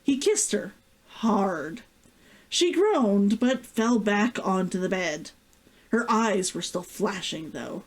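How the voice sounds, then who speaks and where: A woman's voice: mid-range voice, animated. A woman hosting a stream as an author, with a giggly, effusive manner. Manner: giggly, effusive, drawn-out sighing interjections. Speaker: vtuber host and author. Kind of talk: casual excited chat and reading aloud from own novel.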